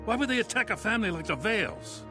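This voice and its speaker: A man playing an earnest police lieutenant in a video game, urgent and emphatic, with a creaky edge. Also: high-pitched, sing-song, fast talker. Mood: shocked. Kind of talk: dramatic video game acting. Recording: faint music under the voice.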